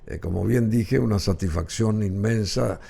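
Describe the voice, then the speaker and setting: A man's voice: fairly low pitch, normal speed, creaky, dark tone, slightly rough. A male radio host with a Paraguayan Spanish accent, interviewing a guest, effusive in his thanks and rambling with many fillers.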